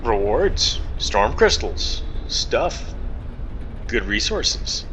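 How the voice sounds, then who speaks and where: A man giving casual, unscripted gameplay commentary, thinking aloud in halting fragments. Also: very animated. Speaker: gaming video host. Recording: loud constant background noise, vehicle-like rumble.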